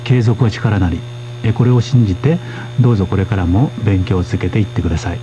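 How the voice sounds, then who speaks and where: A man hosting a radio language course, speaking in a polite, steady way as he interprets for his guests. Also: fairly low pitch, rapid-fire, dull audio, noisy background. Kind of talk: radio lesson presenting.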